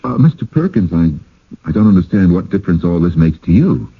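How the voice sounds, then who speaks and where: An actor playing a reserved, skeptical former professor in a radio drama, measured and wary, with some hesitation. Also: male voice, sits low, big pitch swings, husky, full character voice. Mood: wary, prickly. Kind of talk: 1980s radio drama acting. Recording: tinny band-limited old radio broadcast.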